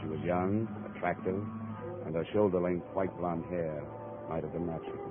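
Guy acting as deadpan american radio detective, deep voice, old muffled 1950s broadcast. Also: normal speed, over music, close to an everyday voice. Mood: cool narration. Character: hardboiled male insurance investigator, cool and probing. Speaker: lead radio actor, narrator.

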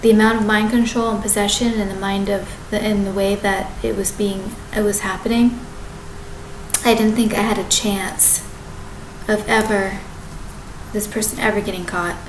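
A woman in her thirties talking to a webcam in her apartment, rambling and halting, often restarting and correcting herself. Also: mid-range voice, little pitch movement. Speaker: vlogger. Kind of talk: rambling direct-to-camera monologue. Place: apartment living room with kitchen behind. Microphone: webcam at desk distance. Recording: echoey room with background noise.

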